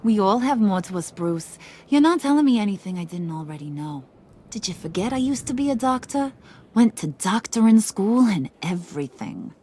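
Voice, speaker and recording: A woman's voice: mid-range voice, very animated, moderate pace, slight background noise, lightly acted. An actress playing a cocky, volatile female gang boss in a video game, American, teasing and slangy, slipping from singsong to sinister. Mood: wistful, morbid. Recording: loud game music underneath.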